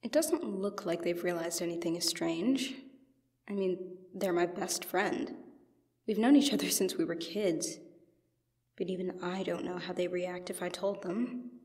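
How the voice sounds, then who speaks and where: A woman playing an expressive young genderfluid best friend in a conversational ASMR roleplay. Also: on the low side, measured pace, husky, dry recording. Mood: hushed, anxious. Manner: teasing, casual, expressive, apologetic. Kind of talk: ASMR roleplay, one-sided conversational acting.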